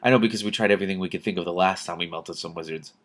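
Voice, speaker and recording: Guy doing a practical princess from a storybook, brisk, wry, totally unflustered. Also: low-pitched, close to an everyday voice. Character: brisk, matter-of-fact princess, dryly wry.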